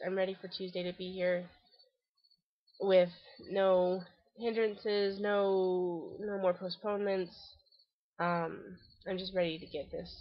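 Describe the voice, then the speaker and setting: A woman's voice: mid-range voice, no room sound. Casual vlogger, woman, congested and stuffy, chatty, dry and dull home-camera sound. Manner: chatty and rambling, frequent ums and restarts. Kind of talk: casual video diary update. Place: covered back deck outdoors.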